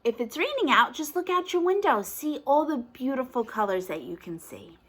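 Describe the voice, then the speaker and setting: A woman's voice: high-pitched, sing-song, normal speed, fairly bright tone, husky. A woman teacher reading a picture book aloud to toddlers, asking encouraging questions in a sing-song, exaggerated way.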